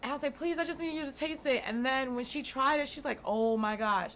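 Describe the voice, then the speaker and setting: Chatty Brooklyn woman, slightly high voice. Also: a little fast, a bit bright, a little hiss or hum. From Brooklyn, New York. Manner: chatty, laid-back, slangy. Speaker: guest, drink business owner. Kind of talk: casual podcast chat.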